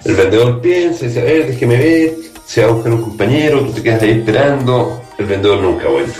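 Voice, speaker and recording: A man with a Chilean accent reads a persuasive sales pitch and speaks straight to the listener. His voice sounds a little off-mic in a roomy, echoey space. Faint music plays under him. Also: expressive, brisk, darkish tone, distant mic. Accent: Chilean Spanish. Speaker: startup CEO. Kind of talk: scripted pitch.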